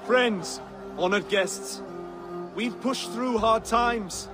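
Earnest, high-voiced young king. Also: drawn-out words, crowd noise. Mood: solemn, hopeful. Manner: earnest, formal, oratorical. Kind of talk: dramatic video game acting. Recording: music under the voice.

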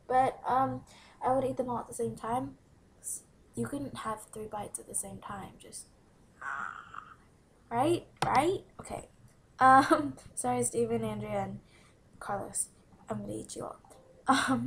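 A woman's voice: a little high, fairly even intonation, normal speed, a little hiss or hum. A teenage girl chatting casually into a webcam in a small room, answering follower questions in a bubbly, giggly way and often dropping to a whisper. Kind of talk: casual Q&A vlog. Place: small room indoors by a window. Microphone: webcam from below at close range.

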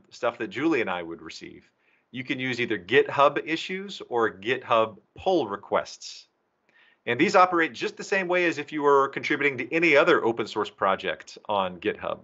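A man's voice: mid-range voice, big pitch swings, normal speed, bright, forceful tone. A man giving a technical presentation to a user group over an online video call. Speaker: presenter, documentation team member. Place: indoors, remote video call. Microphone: video call microphone. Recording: slightly dull video-call audio.

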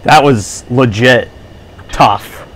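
A man's voice: mid-range voice, drawn-out words, a bit bright. A man giving light, casual commentary while recording himself playing a video game. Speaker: gamer recording a playthrough. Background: video game sound and faint music.

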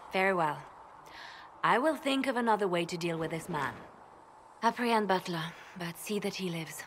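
A woman's voice: on the low side, lively intonation, measured pace, pauses often, fairly bright tone. A woman playing a cold, pragmatic spymaster in a fantasy game, speaking with grave intensity. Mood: reluctant. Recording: faint ambient noise.

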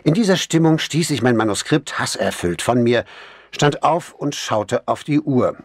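A man narrating a crime novel in the first person, reading in a measured, expressive literary manner. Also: medium pitch, dry recording. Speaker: audiobook narrator.

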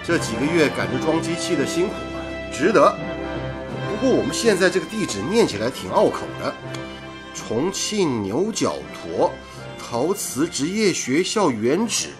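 Chatty teasing engineer pal in a radio drama, kinda high voice, faint music. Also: male voice, sing-song, moderate pace. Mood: relieved, musing. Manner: exclamatory, teasing. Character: chatty teasing radio station engineer, loyal blunt buddy.

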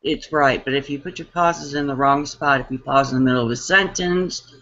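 A woman's voice: extremely low pitch, a little fast, a bit bright, muffled recording. A middle-aged woman interviewing a guest over a video call, chatting and reading out viewers' questions. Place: room at home, indoors. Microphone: webcam on a video call.

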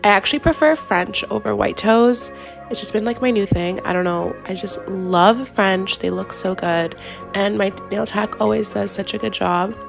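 A young woman vlogger chatting casually to camera with a stuffy, congested, raspy voice, gushing and filling pauses with "like" and "yeah". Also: medium pitch, music in the background. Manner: bubbly, gushing, many fillers like "yeah" and "like". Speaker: lifestyle vlogger.

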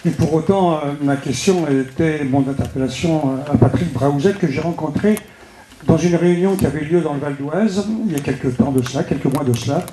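A man makes a halting public-meeting speech into a handheld microphone, often repeating words as he addresses an official. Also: fairly high pitch, noisy background. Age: 60s. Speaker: local politician speaking from the floor at a public debate. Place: indoor meeting room with seated audience. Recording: roomy, echoing public meeting hall.

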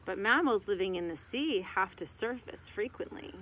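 A woman's voice: medium pitch, animated, normal speed. A woman in her thirties reads a children's picture book aloud like a teacher, phrase by phrase with short asides. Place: outdoors in a garden beside a tree. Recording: outdoor background noise with birds and rooster.

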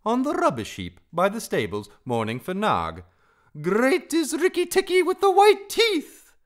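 A tiny, feather-brained storybook songbird, crows in triumph. The voice leaps far up into a very high, loud singsong and swoops about grandly. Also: male voice, wildly swooping pitch, moderate pace. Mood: singsong, boastful. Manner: singsong, exultant delivery.

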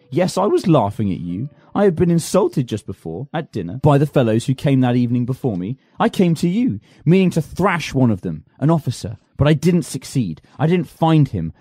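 A man playing a bitter, self-loathing poor man who swings from shouted rants to low, brooding talk. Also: husky, no echo, studio quality. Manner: melodramatic, lurching from shouted rants to low narration. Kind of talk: comic amateur drama reading.

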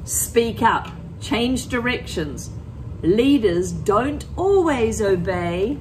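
A middle-aged woman teacher reading a picture book aloud to children, with deliberate, expressive phrasing, talking directly to her young listeners. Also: on the high side, slight background noise, rough recording. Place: empty school classroom, indoors.

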